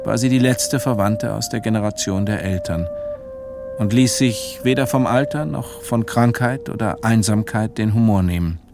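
A middle-aged man narrating a literary radio play. His voice is low, measured and reflective, with a weary melancholy. Music plays clearly behind him.

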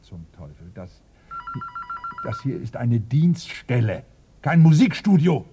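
An actor in a radio play as a grumpy, dry-humoured police inspector, gruff and sardonic. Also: male voice, medium pitch, very animated, takes it slowly, lo-fi sound, background noise. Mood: exasperated.

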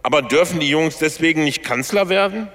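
An actor playing a brash, plain-spoken populist candidate for chancellor in a satirical radio play. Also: male voice, on the high side, animated, fairly quick, fairly bright tone. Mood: defiant, frank. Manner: plain-spoken, colloquial, combative. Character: brash, blunt populist chancellor candidate and Schalke football fan. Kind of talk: radio satire political speech. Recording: hall reverb, music behind.

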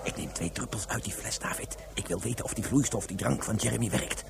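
A man's voice: fairly low pitch, fairly quick, gravelly. An actor playing a curious father on a space journey in a radio drama, explaining things as he goes. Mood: secretive.

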